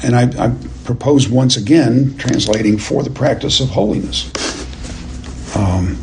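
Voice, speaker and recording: A man teaching a Bible class verse by verse, speaking in a deliberate, halting and emphatic way and putting questions to his listeners. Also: mid-range voice, vocal fry, a little mellow, slight background noise. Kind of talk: expository lecture to a class, verse by verse.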